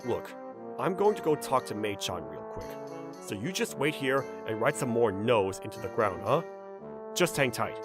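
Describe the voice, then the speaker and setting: A man playing a level-headed, gently teasing young man in a manga dub, narrating and talking in a dry, blunt manner. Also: medium pitch, big pitch swings, normal speed, over music, lightly acted. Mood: dry, firm.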